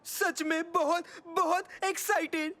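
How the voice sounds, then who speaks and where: A woman voicing an impatient, excitable young boy in an animated comedy, whiny, dramatic and quick to plead or accuse. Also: extremely high pitch, heavily acted. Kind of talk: animated comedy series dub.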